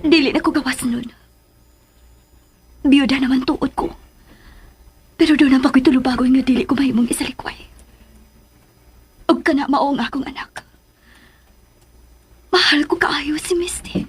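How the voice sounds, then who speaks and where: An actress playing an anxious, tender widowed mother in love, with a Filipino accent and hesitant, breathy, emotional delivery in a radio drama. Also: high voice, normal speed, pauses often, soft background music, lightly acted. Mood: firm, cautious. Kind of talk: Philippine radio drama acting, sentimental romance.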